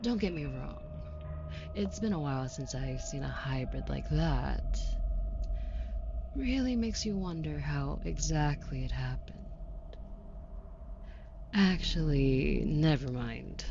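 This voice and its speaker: Female demon, played by an actress, audio roleplay. Deep for a woman, slow, sardonic drawl, imperious and flirtatious. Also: animated, gravelly, darkish tone, heavily acted. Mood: wry, unimpressed. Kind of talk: scripted audio roleplay acting. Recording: muffled, with audible background noise.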